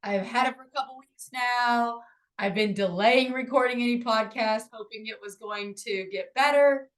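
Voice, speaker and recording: Hoarse raspy lady, 40s, chatty podcast host, kinda high voice, upbeat and encouraging. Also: moderate pace, rough recording. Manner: upbeat, encouraging, rambling with fillers. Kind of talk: casual podcast monologue.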